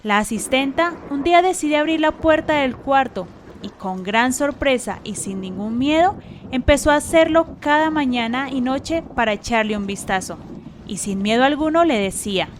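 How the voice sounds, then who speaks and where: A woman's voice: high-pitched, lively intonation, moderate pace, slight background noise. A woman narrating a radio drama in a scripted storytelling style.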